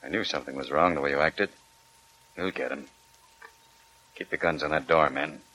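A man's voice: very deep voice, pushed and bright, exaggerated voice. A man with an American accent playing a shrewd, authoritative sheriff leading a manhunt in a 1940s radio crime drama. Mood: hushed command. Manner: brisk, authoritative. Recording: dull, faintly noisy old radio recording.

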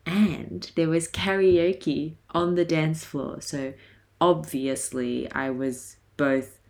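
A woman in her twenties with a hoarse, raspy voice, chatting casually and laughing often as she hosts her podcast. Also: deep voice, fairly even intonation, takes it slowly, a little mellow. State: hoarse from a night of singing. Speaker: podcast host. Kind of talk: casual solo podcast chat.

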